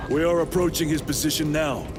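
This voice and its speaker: A man's voice: on the high side, steady tone, a little fast, lightly acted. A man playing a stern veteran super-soldier lieutenant in a video game, terse, formal and authoritative. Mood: firm. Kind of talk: dramatic video game acting. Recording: loud music and battle din behind.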